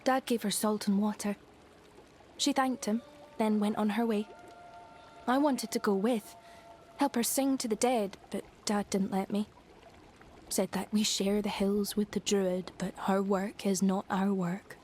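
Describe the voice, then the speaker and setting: Earnest young hill-country daughter, medium pitch woman acting. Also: steady tone, unhurried, a little mellow, a little hiss or hum, lightly acted. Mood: wistful, earnest. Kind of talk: video game cutscene acting.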